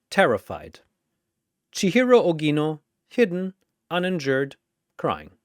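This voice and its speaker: A male narrator delivers dramatic battle storytelling in short, theatrical phrases. His pace is unhurried and his pitch very animated, with a dry, close sound. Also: no room sound. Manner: theatrical, clipped announcer phrasing.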